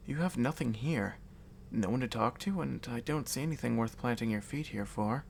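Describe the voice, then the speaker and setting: A male voice actor plays a predatory vampire noble in an intimate ASMR audio drama. He has a medium-pitched male voice and speaks with measured courtesy, reassuring yet condescending. A light layer of background noise sits under the voice. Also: lively intonation, creaky. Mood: coaxing. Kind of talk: ASMR audio roleplay addressed to the listener. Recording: audible background noise and ambience.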